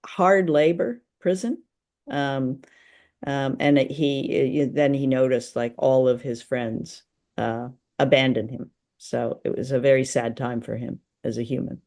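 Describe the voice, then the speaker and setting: Give the voice of a woman co-hosting a relaxed book discussion. She talks in a conversational, unscripted way, often pausing to find her next phrase while she thinks out loud. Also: very deep voice. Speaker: podcast host. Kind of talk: casual literary chat.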